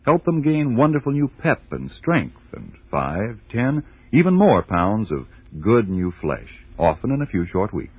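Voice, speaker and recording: A man announcing in scripted 1940s radio style, with deliberate, emphatic salesmanship. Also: lowish voice, huge pitch swings, husky, no echo. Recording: tinny, band-limited old radio recording.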